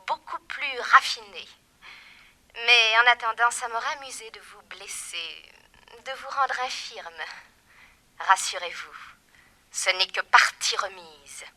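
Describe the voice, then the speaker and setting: A woman's voice: on the high side, sharp tone, full character voice. An actress playing a cruel, mocking female criminal mastermind in a 1960s French radio crime serial, with a taunting, sinister manner.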